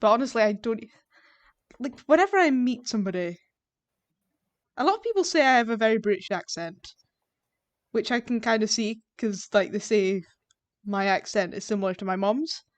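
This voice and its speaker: High-voiced, giggly Scottish woman. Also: animated, normal speed, dry recording. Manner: giggly, playful, chatty. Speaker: role-play streamer. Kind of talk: casual chat on a live stream.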